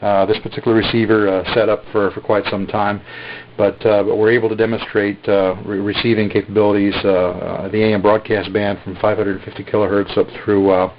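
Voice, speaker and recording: Man, lowish voice, hesitant filler-heavy delivery, muffled lo-fi capture; casual product demo. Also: level tone, slight background noise. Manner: halting, many "uh" fillers. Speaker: radio seller demonstrating a receiver. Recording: dull lo-fi recording.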